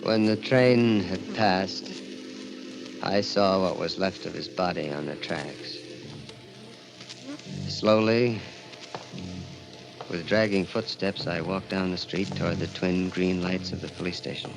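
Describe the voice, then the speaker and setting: Low-voiced, grim young avenger. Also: male voice, steady tone, creaky, heavily acted, low-quality recording. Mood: somber, haunted. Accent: American. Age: young adult. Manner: measured, brooding, intense. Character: grim, vengeful young man confessing a deadly mission. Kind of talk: 1940s radio acting, dramatic first-person narration. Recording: old radio broadcast with dramatic music.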